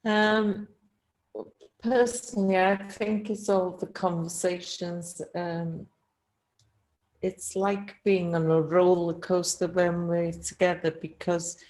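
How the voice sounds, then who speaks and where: A woman artist answering on a gallery panel, halting and reflective. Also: lowish voice, steady tone, darkish tone, low-quality recording. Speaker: artist in the group.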